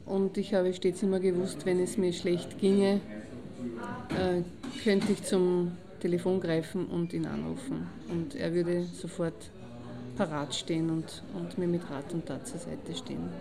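A woman playing a middle-aged, loyal friend who reminisces in measured, formal words in an audio drama. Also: on the low side, level tone, creaky, a crowd in the background. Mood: appreciative.

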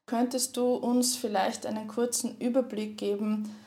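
A woman's voice: a little high, little pitch movement, normal speed, mellow. A woman hosting an interview podcast, welcoming her guest and asking friendly, structured questions in German. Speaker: podcast host.

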